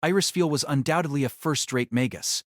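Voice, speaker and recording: A man's voice: fairly high pitch, brisk, dry recording, clean studio sound. Male narrator reading a fantasy drama. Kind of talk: scripted audiobook narration.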